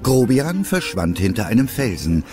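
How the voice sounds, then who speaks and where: A man's voice: medium pitch, very animated, moderate pace, music in the background. A man reading scripted narration for an audio drama.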